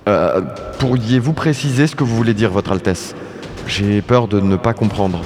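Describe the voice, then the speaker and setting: A man's voice: on the low side, moderate pace, darkish tone, long reverb tail, lightly acted. A man playing a determined, earnest insect war veteran in an audio drama. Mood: nervous, deferential.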